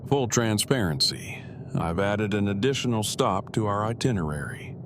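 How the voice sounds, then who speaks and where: An actor playing an earnest, nerdy husband in an audio drama, speaking in a stiffly formal, wordy, precise way. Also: male voice, lowish voice, expressive, moderate pace, close to an everyday voice. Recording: clear background noise over the dialogue.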